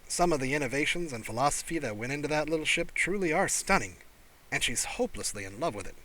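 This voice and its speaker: A young raccoon-like alien pilot from a science fiction audiobook, acted by a man. He is polite and earnest, with an eager charm. The tone is bright. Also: fairly high pitch, lively intonation, fast talker, low-quality recording. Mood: respectful, earnest.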